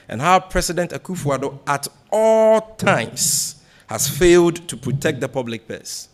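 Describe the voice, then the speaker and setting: A man with a Ghanaian accent reading a prepared political speech as a party spokesman, in a deliberate, emphatic, oratorical way. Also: a little high. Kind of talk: formal political speech read from a script at a press briefing.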